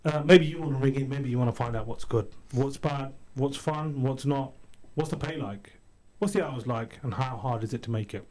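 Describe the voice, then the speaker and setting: A man's voice: mid-range voice, normal speed, few pauses, a little mellow. A man hosting a community radio phone-in show, talking in a loose, chatty way with frequent ums and restarts. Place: radio studio.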